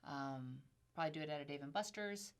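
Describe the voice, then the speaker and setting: A woman's voice: low-pitched, a little fast, clear, resonant tone. A woman co-hosting an insurance news show, talking in an upbeat, folksy way. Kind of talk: casual chat.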